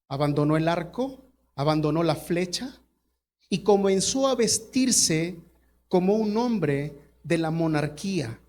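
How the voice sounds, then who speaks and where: A man's voice: a little high, darkish tone. A male pastor preaching a sermon, telling a story dramatically and emphatically.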